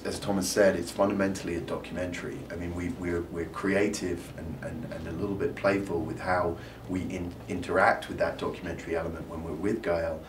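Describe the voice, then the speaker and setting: A man raised in the UK, a filmmaker guest who repeats words as he starts his sentences in a panel interview. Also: low-pitched. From United Kingdom. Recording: roomy, from a distance, noisy.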